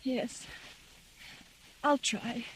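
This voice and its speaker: A woman's voice: on the high side, drawn-out words. A woman playing a frightened, suspicious, brooding wife in tense, earnest 1940s radio acting. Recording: noisy old 1940s radio recording.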